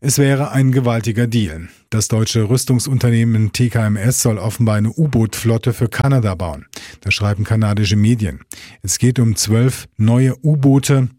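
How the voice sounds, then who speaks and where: A man's voice: medium pitch, normal speed, warm tone, no room sound, clean studio sound. A man reading scripted radio news as the anchor, in standard German.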